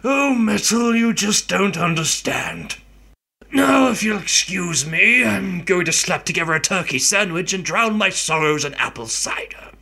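A middle-aged mad scientist villain in a radio play. The voice is pitched high and forceful, with a rough, gravelly edge. He grumbles theatrically, full of self-pity. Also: animated, unhurried, exaggerated voice. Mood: self-pitying, gloomy. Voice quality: gravelly, raspy. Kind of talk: amateur radio play acting.

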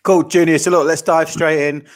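A man's voice: fairly high pitch, little pitch movement, moderate pace. A young man hosting a podcast, asking questions in a chatty, frank way. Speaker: podcast host. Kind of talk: casual interview chat.

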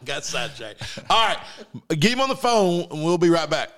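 A man's voice: fairly high pitch, very animated, moderate pace, a bit bright. A man of forty, hosting a casual sports show with a teasing manner. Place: studio.

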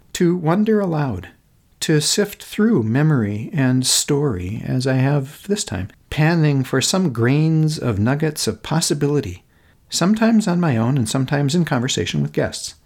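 A middle-aged American man hosting a podcast, narrating a reflective script in a gentle, deliberate, contemplative manner. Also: a little high, sing-song, slightly rough. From Minnesota, United States. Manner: contemplative, gentle, deliberate phrasing with emphatic repetition. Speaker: podcast host.

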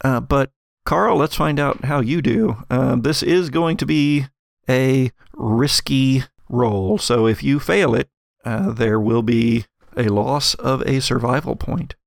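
A man's voice: medium pitch, slow pace, gravelly, no echo, studio quality. A man working as game master, narrating an actual-play tabletop game in a conversational, improvised way.

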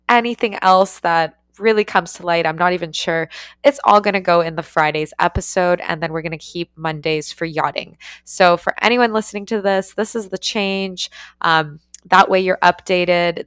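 A young woman hosts her own podcast, speaking in a fairly low, earnest voice. She encourages her listeners and leans on repeated words for emphasis. The recording is dry and close. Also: fairly bright tone, clean tone, dry recording. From born in Ukraine, raised in Canada. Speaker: podcast host. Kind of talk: solo podcast monologue.

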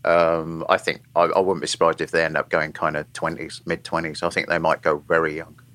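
A man with a deep, low voice chats as a podcast guest. He rambles, circles back and restarts his thoughts often. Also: little pitch movement, a little fast, a bit bright. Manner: rambling, many restarts and asides. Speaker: podcast guest, film journalist. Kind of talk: casual podcast discussion.

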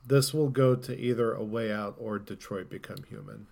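A man's voice: lowish voice, normal speed, creaky, darkish tone. A man co-hosting a gaming podcast in casual conversation, thinking aloud with some hesitation. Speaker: podcast co-host.

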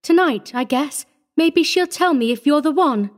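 Woman playing a shy, high-voiced little orphan girl. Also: animated, a little slow. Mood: shy, hesitant. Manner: shy, hesitant, earnest.

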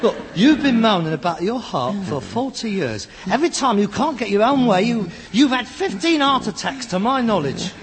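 A sardonic London rag-and-bone man, the exasperated son in a sitcom. His voice is high and swoops widely, in a slightly noisy, reverberant old recording. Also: sing-song, moderate pace, hardly any pauses. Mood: dismissive. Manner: mocking, sardonic. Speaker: actor in a comedy. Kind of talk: British sitcom acting.

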